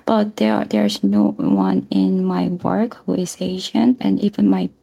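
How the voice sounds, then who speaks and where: A young woman from South Korea with a Korean accent, a non-native speaker answering interview questions earnestly in halting phrases. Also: medium pitch, steady tone, vocal fry, dark tone, husky. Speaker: interview guest, mental health nurse and researcher.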